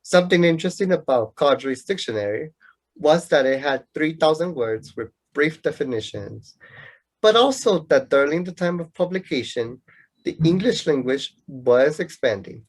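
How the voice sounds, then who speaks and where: A man's voice: on the high side, lively intonation, normal speed. A man playing a competitive young student in a radio play, explaining things to show off his knowledge. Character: competitive know-it-all young male student rival in love.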